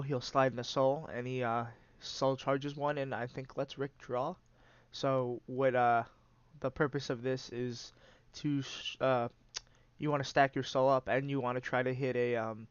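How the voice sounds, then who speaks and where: A man's voice: medium pitch, clean tone, no room sound, muffled recording. A man with a congested, stuffy nose hosting and giving casual, rambling play-by-play commentary on a card game. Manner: rambling, many "uh" fillers, restarts. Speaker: host and commentator.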